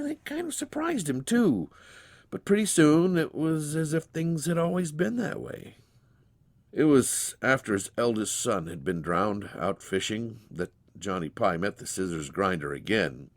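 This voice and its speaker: A man narrating a literary tale as a storyteller, with an expressive, folksy, dramatized delivery. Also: fairly low pitch.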